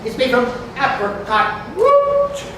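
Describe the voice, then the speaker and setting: An exuberant poet-priest declaims a wedding masque in a high voice that swoops widely. The delivery is theatrical and very slow, with dramatic pauses. It rings through a very echoey hall. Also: male voice, huge pitch swings, heavily acted. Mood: exuberant, mysterious. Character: exuberant male poet-priest blessing a mystical wedding cup. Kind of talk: staged reading of a poetic masque. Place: community hall, live staged reading. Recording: very echoey hall from far off, noisy.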